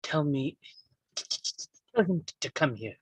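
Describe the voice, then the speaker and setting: A guarded young American exile, read by a man at a script table read. The voice is husky and a little high for a man, and it catches repeatedly on first sounds in a heavy stammer, yet stays insistent. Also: expressive, slow pace, a bit bright, dry recording, exaggerated voice.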